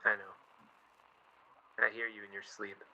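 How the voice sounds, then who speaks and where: A man's voice: fairly low pitch, flat delivery, a little slow, gravelly, pushed and bright, full character voice. A man playing a brash, idealistic young American journalist in love in a naturalistic radio play. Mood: guarded. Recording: dull lo-fi recording with faint noise.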